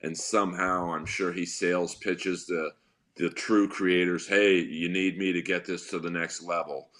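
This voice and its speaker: A man with a deep voice chats loosely as a podcast guest. His tone is low and bright, and he tells long, wry stories with a dry edge. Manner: wry, rambling storyteller. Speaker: guest comedian. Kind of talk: casual podcast chat.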